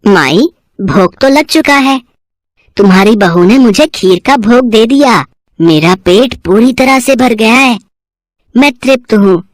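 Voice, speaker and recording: A young boy god from a devotional cartoon tale, acted by a woman, high-voiced and sweet. He speaks with calm, gentle insistence, recorded close and dry. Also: a little slow, dry recording. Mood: content, blessing. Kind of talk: devotional cartoon storybook voicing.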